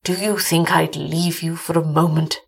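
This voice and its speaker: A woman's voice: low-pitched, level tone, normal speed, creaky, breathy edge, exaggerated voice. A woman playing an anxious, devoted husband, a doctor, with earnest, emotional delivery in a gothic story. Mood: gentle, reassuring. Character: Doctor Gahira, anxious devoted husband, gentle then anguished.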